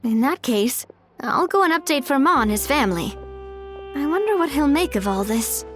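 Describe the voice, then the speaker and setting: A lively, easygoing young woman from a video game. The voice is high and expressive, with music faintly behind. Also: moderate pace. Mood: casual.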